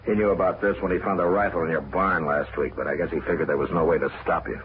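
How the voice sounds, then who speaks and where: An actor playing a calm, firm frontier marshal with a measured, commanding delivery. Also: male voice, low voice, natural voice. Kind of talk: 1950s radio drama acting. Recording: tinny, hissy old radio broadcast.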